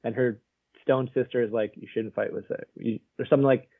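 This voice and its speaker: A man's voice: medium pitch, steady tone, brisk, husky, no echo. A man co-hosting a casual film review podcast, rambling with lots of fillers and frequent laughs. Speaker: podcast co-host. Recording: narrow phone-like sound.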